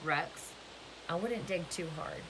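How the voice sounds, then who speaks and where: A woman's voice: fairly low pitch, slow, fairly bright tone, slight background noise, poor audio. A woman reading the storybook part of a mild, smug, easily cowed male camp counselor.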